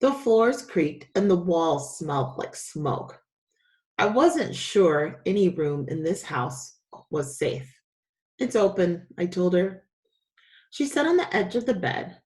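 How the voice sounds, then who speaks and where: A woman's voice: on the low side, very animated, takes it slowly, a little mellow. A woman teacher reading a children's story aloud with expression.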